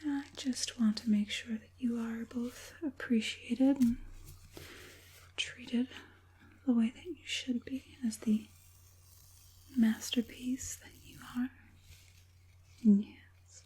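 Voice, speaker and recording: A woman playing an evil, proud and doting witch puppet master in a polite, breathy ASMR whisper with occasional softly voiced words. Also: on the high side, steady tone, exaggerated voice. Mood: proud, possessive. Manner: unhurried, polite, hushed, deliberate with repeated affirmations.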